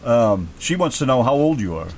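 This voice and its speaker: A man's voice: medium pitch, sing-song, fairly quick, darkish tone, slight background noise. A man hosting a radio interview in casual, blunt, joking and salty talk. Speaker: radio show host.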